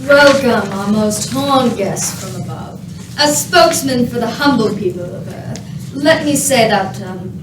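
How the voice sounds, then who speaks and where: Casting: woman as flustered stately diplomat, slow delivery, highish voice, distant noisy roomy recording. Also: lively intonation. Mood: ceremonious. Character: formal, flustered human diplomat chairing the assembly. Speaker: spokesperson. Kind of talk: radio play comedy acting.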